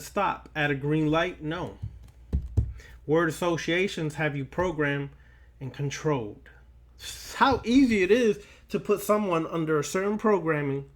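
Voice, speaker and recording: A man's voice: a little high, fairly bright tone. A man giving a spiritual lecture straight to his listeners, emphatic and repeating phrases for weight. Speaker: occult lecturer.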